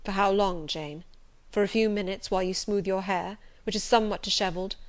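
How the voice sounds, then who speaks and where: A woman reading as a stormy, impassioned gentleman. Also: medium pitch, steady tone, a little fast, lightly acted. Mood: pleading, insistent. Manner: loud and impassioned, urgent. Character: passionate, stormy middle-aged gentleman. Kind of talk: audiobook reading.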